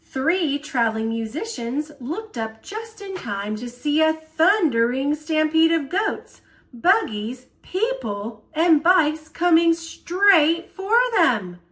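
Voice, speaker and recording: A woman storyteller reading a children's picture book aloud in an expressive style. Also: high voice, takes it slowly.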